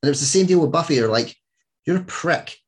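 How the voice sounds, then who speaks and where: A man's voice: a little high, lively intonation, quick pace, husky. A man hosting a podcast in casual, enthusiastic chat, joking and swearing freely. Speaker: podcast host.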